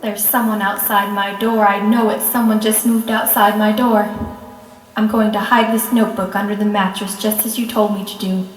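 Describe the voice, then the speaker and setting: A woman's voice: medium pitch, little pitch movement, normal speed, echoey room, far from the mic, slight background noise, natural voice, rough recording. A woman playing a frightened wife who fears for her life in an old-time radio play. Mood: fearful.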